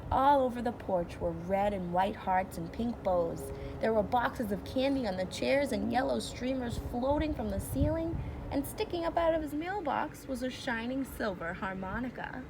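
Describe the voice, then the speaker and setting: Woman, fairly high pitch, expressive storybook read-aloud, teacherly explaining, clear background noise. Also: normal speed. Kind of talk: children's storybook read-aloud with simple commentary.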